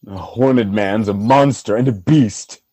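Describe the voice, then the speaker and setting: A man's voice: fairly low pitch, unhurried, dark tone, no room sound. A man acting a proud, tormented, jealous general in a Shakespearean radio play, brooding and impassioned in his verse. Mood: brooding bitterness.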